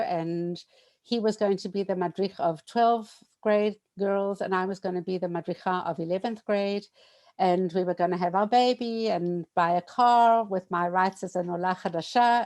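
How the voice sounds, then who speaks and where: A woman in her sixties telling a personal memorial story, hesitant and reflective, with frequent pauses and restarts. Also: medium pitch, dark tone. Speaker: bereaved widow sharing memorial story.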